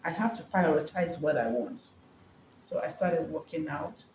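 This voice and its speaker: Mellow Nigerian woman in an echoey room. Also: deep voice, unhurried. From Lagos, Nigeria. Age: 50s. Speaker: wellness coach and business owner, interview guest. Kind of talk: conversational interview answers, personal account. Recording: echoey room with background noise.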